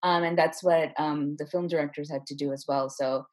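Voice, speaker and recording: A woman with a husky voice talking casually and enthusiastically as an interview guest, a writer explaining her work. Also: deep voice, steady tone, moderate pace, darkish tone. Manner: enthusiastic, effusive. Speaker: playwright and screenwriter, interview guest.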